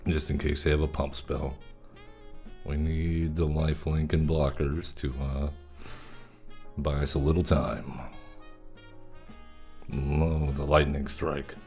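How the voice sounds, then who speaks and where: Man, very low voice, mellow, casual play-by-play, light guitar backing. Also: level tone, slow pace, long pauses. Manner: easygoing, chatty, thanks viewers. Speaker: streamer. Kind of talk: casual gameplay commentary.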